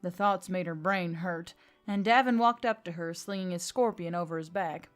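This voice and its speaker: A woman's voice: on the low side, normal speed, faint music behind. A woman reading scripted story narration as the narrator of a work of fiction.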